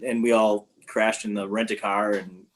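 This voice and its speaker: A man's voice: lowish voice, level tone, normal speed, a little hiss or hum, low-quality recording. A man casually telling a travel story on a podcast, rambling with many fillers and restarts.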